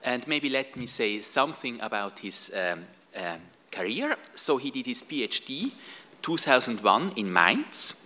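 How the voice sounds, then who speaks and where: A man's voice: medium pitch, sing-song, measured pace, bright, forceful tone. A middle-aged man chairing a session, formally introducing the plenary speaker from a podium microphone in an auditorium. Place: large auditorium stage.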